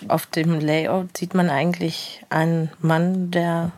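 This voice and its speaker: A woman in her thirties recording a quiet, partly whispered, casual audio diary. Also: fairly low pitch, flat delivery, slow, no room sound. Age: 30s. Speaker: participant trying a self-help book.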